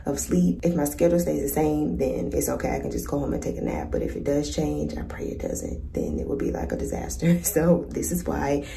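A young woman who works as a flight attendant, chatting casually to her phone camera at arm's length, her voice a little hoarse from too little sleep. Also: sits low, lively intonation, a little fast, hardly any pauses, warm tone, far from the mic. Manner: chatty, laughs often, talks straight to her viewers. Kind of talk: casual vlog chat to camera. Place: hotel room and empty airliner cabin. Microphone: phone held at arm's length.

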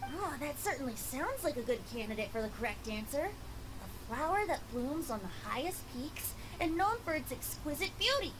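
A woman's voice: high-pitched, very animated, moderate pace. A woman voicing a cheerful young male bard who hosts riddles in a video game, eloquent and showy. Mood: genial. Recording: game audio with background noise.

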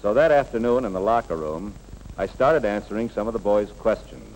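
A man's voice: on the low side, expressive, brisk, a little mellow, lightly acted. A man playing a patient, plain-spoken middle-aged school coach in a 1950s educational film, explaining things earnestly. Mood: reflective narration. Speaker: coach and narrator. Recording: echoey, noisy 1950s film soundtrack.

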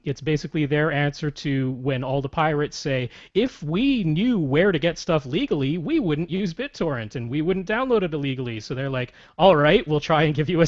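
A young man with a mid-range voice who explains things with eager energy and laughs easily. He co-hosts a casual podcast from a small room at home. The call audio is a bit dull. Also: medium pitch. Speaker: podcast co-host. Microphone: large studio mic on boom arm.

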